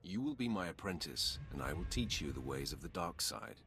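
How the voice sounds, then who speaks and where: An actor playing a menacing, commanding Sith lord in a video game, with a very deep, husky voice and a coldly tempting manner. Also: male voice, very animated, a bit bright, slight background noise, heavily acted. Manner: commanding, deliberate, taunting.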